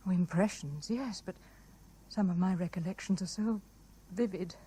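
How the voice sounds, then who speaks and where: A woman's voice: fairly low pitch, normal speed, warm tone, close to an everyday voice. Actress playing haunted, dreamy British woman, noisy recording. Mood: haunted, wistful. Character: haunted, dreamy married woman, wistful and enigmatic. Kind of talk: 1970s British radio drama acting. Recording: noisy 1970s radio broadcast recording.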